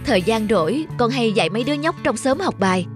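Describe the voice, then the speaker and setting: A woman voicing an earnest, polite young man in an animated moral story. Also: fairly high pitch, fast talker, lightly acted. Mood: warm, earnest. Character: earnest young man, spendthrift son learning independence. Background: background music.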